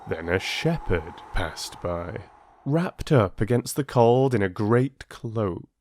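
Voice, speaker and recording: A man narrating as an audiobook storyteller, with expressive, deliberate and dramatic phrasing, close to the microphone. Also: on the low side. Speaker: storyteller and channel host.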